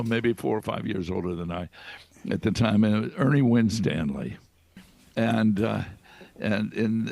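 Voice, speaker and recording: A man in his nineties, a veteran radio performer, casually reminiscing in a halting, rambling way with repeated words. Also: on the low side, gravelly, a little mellow. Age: 90s.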